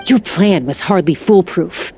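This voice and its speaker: A woman's voice: fairly low pitch, a little slow, a little mellow. A woman playing a young, protective female warrior in a video game, speaking in a firm, blunt, resolute way. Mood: firm reproach. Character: defiant, protective young woman warrior, cool-headed and loyal. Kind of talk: dramatic video game voice acting. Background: background music.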